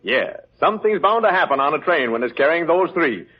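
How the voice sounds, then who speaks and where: An actor playing a gleeful, sardonic police chief with slangy wisecracks in a 1940s radio crime drama. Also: male voice, a little high, sing-song, fairly quick. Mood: gloating, knowing. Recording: muffled old radio recording.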